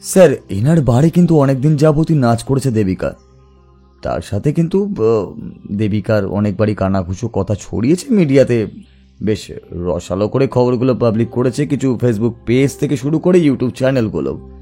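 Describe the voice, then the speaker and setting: An earnest detective reasons through a case in audio drama dialogue. His voice is mellow and mid-pitched, measured and reflective, with faint music behind. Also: expressive. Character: thoughtful male detective, earnest and probing. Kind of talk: audio story dialogue acting.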